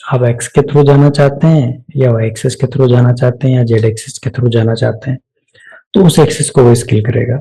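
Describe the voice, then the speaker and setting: A man with an Indian accent narrating a step-by-step software tutorial, explaining each action aloud as an instructor. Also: medium pitch, steady tone, fairly quick, gravelly, breathy edge, poor audio.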